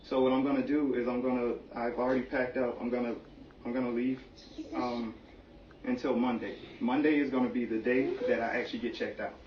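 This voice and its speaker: A man speaking seriously and haltingly in a personal conversation, earnestly explaining things in simple terms. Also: mid-range voice, steady tone. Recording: muffled, echoey room, distant mic, faint noise.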